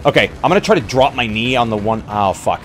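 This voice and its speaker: A man chatting casually as a tabletop roleplay player, thinking out loud and swearing as he reacts. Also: mid-range voice, expressive, fast talker, soft background music. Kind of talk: casual game chat.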